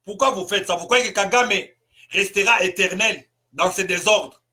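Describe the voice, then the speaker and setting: Impassioned high-voiced Congolese preacher. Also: male voice, moderate pace. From Congo (DRC). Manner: emphatic, rhetorical questions, bursts of shouting. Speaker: pastor. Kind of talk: impassioned political sermon.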